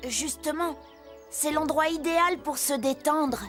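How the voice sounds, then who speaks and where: A high-pitched, friendly young tractor from a children's cartoon. He sounds eager and kindly, a born helper, with music playing behind him. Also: measured pace, pushed and bright. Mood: kindly, reassuring. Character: cheerful, helpful young talking tractor, male.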